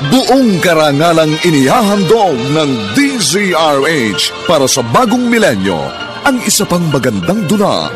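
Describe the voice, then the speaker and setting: Animated male Filipino radio announcer over music. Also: fairly high pitch, unhurried. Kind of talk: dramatic scripted radio program introduction. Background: loud music.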